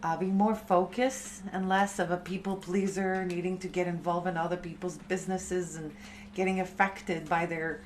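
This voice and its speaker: A woman in her thirties, a chanting teacher, talking softly and unhurriedly to her live-stream community. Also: lowish voice, steady tone, a little hiss or hum. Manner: slow, soft, unhurried spiritual talk.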